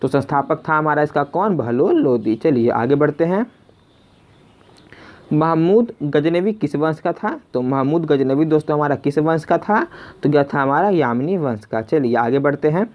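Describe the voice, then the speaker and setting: A man's voice: a little high, lively intonation, moderate pace. Man teaching, dull lo-fi recording. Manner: conversational, repeats each question before answering, addresses viewers as friends. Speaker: quiz teacher and video host. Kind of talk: spoken exam quiz lesson, reading questions and giving answers.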